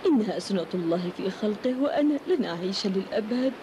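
A woman playing a gentle, weary elderly mother in a cartoon dub, tender, reassuring and measured in formal Arabic. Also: medium pitch, expressive, natural voice. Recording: noisy, hissy old cartoon soundtrack.

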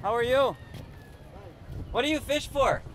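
A man's voice: extremely high pitch, unhurried, a bit bright. Chatty Canadian man vlogging outdoors. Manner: chatty, simple broken phrasing with locals. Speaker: travel vlogger. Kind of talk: casual walking vlog chat. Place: outdoors in a busy village neighborhood. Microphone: action camera held or worn on body. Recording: outdoor crowd and street noise.

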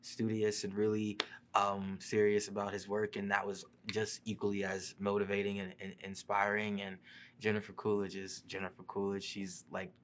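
A man's voice: on the low side, normal speed. A young man, an actor, answering interview questions casually with fillers and restarts, seated in a studio. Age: young adult. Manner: relaxed, many fillers and restarts. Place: studio seat before a printed backdrop.

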